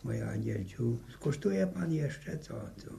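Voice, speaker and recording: Creaky old polish radio drama grandpa, slow n hesitant, consoling, hissy old recording. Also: male voice, mid-range voice, lively intonation, dark tone, exaggerated voice. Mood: hesitant, hospitable. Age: older. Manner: hesitant, repeats words, muttering. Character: old village father-in-law, gentle, rambling, consoling. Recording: noisy vintage radio recording.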